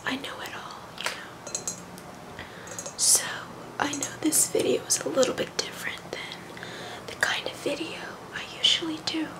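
A young woman whispering intimately in a breathy, girly, vain manner for an ASMR role-play, close to the microphone in a small bedroom. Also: sits high, flat delivery, normal speed, low-quality recording. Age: young adult. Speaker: ASMR video host in a comic persona.

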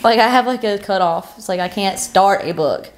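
A woman in her twenties talks as a podcast co-host in an easy, casual register. She is effusive and quick to poke fun at herself, with "like" peppered through her sentences. Her pitch sits in the middle for a woman. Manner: effusive, self-deprecating, peppers talk with "like". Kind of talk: casual chat about books. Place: living room sofa, indoors.

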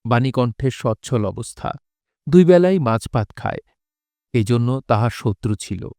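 A man's voice: medium pitch, expressive, warm tone, no room sound. Solemn male narrator, close and dry. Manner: solemn, measured dramatic recitation. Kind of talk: literary scripted narration of an audio drama.

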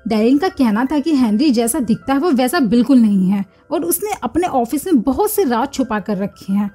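A woman narrating a film recap in a steady, scripted storytelling manner. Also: a little high, normal speed, slightly rough. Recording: voice-over with faint music behind.

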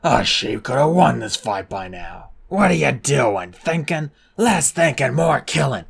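A reader voicing a crowd of arena dragons, loud and taunting. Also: medium pitch, sing-song, unhurried, vocal fry, slightly rough, full character voice. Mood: jeering.

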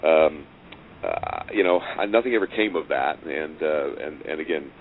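A man being interviewed, explaining his project conversationally and haltingly, often repeating words as he goes. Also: extremely low pitch. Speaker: interview guest, audio drama writer and producer. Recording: noisy, tinny phone-like call line.

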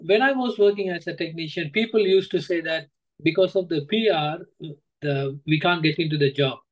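A Sri Lankan man in his thirties talks as an interview guest on a video call. His voice sits a little high, and he tells his own story haltingly, feeling for words as he goes. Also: rough recording. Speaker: guest, former coaching student. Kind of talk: interview answers, personal account. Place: indoor room, on a video call.

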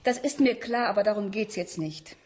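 A woman's voice: medium pitch, moderate pace, a little mellow, close to an everyday voice. A woman acting a worried, insistent young student in a radio drama, earnest and pressing. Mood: defiant, earnest.

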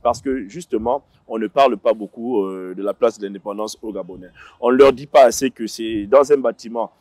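A man's voice: on the low side, a little fast, a little mellow, no echo. A man in his thirties, a guest on a panel, speaking with many fillers and restarts, recorded outdoors on a lapel mic. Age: 30s. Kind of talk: panel discussion. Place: outdoor set on a city square.

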